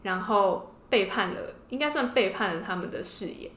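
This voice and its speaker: A woman's voice: mid-range voice, lively intonation, normal speed, a bit bright. Woman podcast host in an echoey room. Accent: Taiwanese Mandarin. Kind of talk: casual explanatory storytelling about fiction. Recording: roomy, echoing podcast room.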